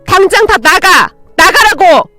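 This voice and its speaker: A woman's voice: sits high, sing-song, fairly quick, dry recording, soft background music. A woman voicing a resentful, wounded young new mother in a dramatized story, with an emotional delivery. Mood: exasperated, furious.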